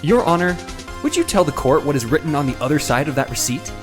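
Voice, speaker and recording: A man's voice: a little high, expressive, fast talker, lightly acted. A man acting an earnest, anxious young defence lawyer in a video game drama, emphatic and pleading. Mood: confident, pressing. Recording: music under the voice.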